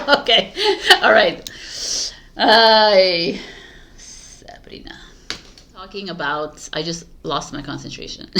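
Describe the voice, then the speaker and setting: Bright-voiced giggly woman tarot reader. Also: on the low side, creaky. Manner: giggly, scattered, restarts often. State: losing her voice. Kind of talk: casual spiritual talk to camera.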